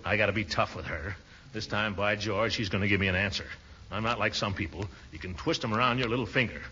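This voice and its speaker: An actor playing a pompous, soft-hearted middle-aged uncle who blusters in a 1940s radio comedy style. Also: male voice, on the low side, quick pace, close to an everyday voice. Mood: resolute. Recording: dull old radio recording with noise.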